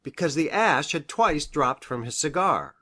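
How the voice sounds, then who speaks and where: A woman's voice: low-pitched, lively intonation, measured pace, sharp tone, full character voice. A woman voicing a grave, hesitant male country doctor in a storybook reading, formal and precise in manner. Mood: matter-of-fact. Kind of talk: audiobook character reading.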